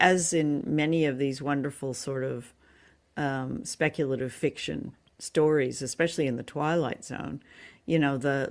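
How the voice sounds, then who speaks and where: A woman co-hosting a podcast, conversationally reviewing radio drama in slightly tentative phrasing. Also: low voice. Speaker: podcast co-host.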